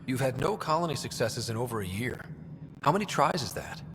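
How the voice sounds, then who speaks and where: An actor playing an earnest, inquisitive young space explorer in a video game, with reverb and noise around him. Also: male voice, mid-range voice, lively intonation, a little fast, lightly acted. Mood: probing. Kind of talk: video game dialogue acting.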